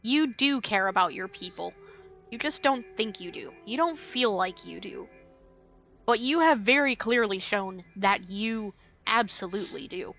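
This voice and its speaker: A woman's voice: medium pitch, animated, unhurried, sharp tone, highs cut off. A woman livestreaming a game with casual commentary, reading dialogue aloud and muttering to herself. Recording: faint game music behind the voice. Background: game music.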